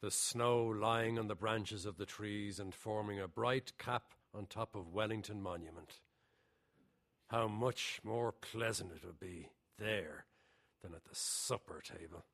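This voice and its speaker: An actor playing a reserved Irish gentleman, polite but defensive under questioning. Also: on the low side, measured pace. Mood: wistful.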